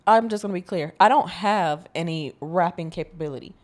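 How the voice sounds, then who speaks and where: A woman chats as a panelist on a podcast in a slightly low voice. She is candid and informal, putting her opinions in plain conversational language. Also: a little fast. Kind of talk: casual chat.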